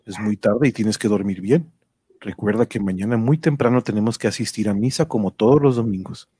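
A man playing a patient, firm but loving father in a radio drama, gently instructing in a husky voice. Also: lowish voice, brisk, dry recording. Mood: firm, paternal. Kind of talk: amateur Spanish-language radio drama acting.

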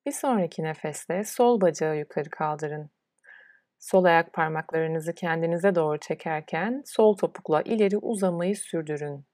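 Low-pitched woman guiding deep relaxation. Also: a little mellow, no echo, clean studio sound. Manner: soothing, unhurried instruction with pauses. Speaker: yoga nidra instructor. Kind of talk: guided relaxation meditation.

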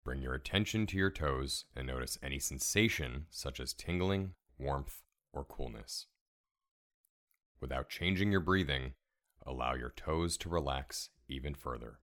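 A man leading a guided relaxation meditation in a calm, soothing, measured way, giving gentle step-by-step instructions. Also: extremely low pitch. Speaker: meditation guide, podcast host.

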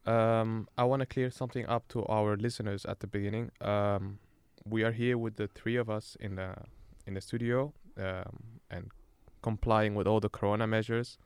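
A man's voice: lowish voice, fairly even intonation, normal speed, clear, resonant tone, no echo. A young man hosting a student podcast, casual and hesitant with frequent ums. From Egypt and the Netherlands. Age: young adult. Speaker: podcast host. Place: small studio.

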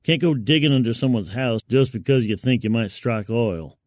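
A man's voice: medium pitch, normal speed, a little mellow, no room sound, studio quality. A man narrating a first-person story in a colloquial, wry, folksy manner. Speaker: fiction narrator.

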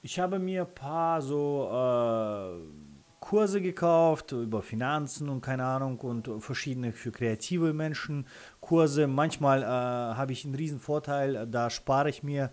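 A man with a Russian accent, a native Russian speaker, answering interview questions casually in halting, self-correcting phrases. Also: mid-range voice. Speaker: interview guest, freelance photographer and videographer.

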